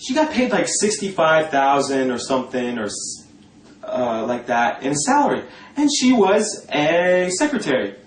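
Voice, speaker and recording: A man telling a personal story informally in a sardonic, gossipy, coarse-mouthed and animated way. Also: on the high side, a little slow. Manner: animated, breaking often into laughter. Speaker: storyteller talking about a past religious community. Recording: roomy echo, distant mic, faint noise.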